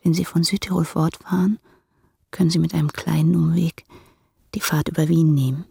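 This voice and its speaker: An actress playing a tired, yearning married woman, speaking in a breathy, subdued, intimate way in a radio drama. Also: low-pitched, steady tone, normal speed, mellow, no echo, studio quality, full character voice. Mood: hushed, inviting. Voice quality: breathy, husky. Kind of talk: intimate radio play acting.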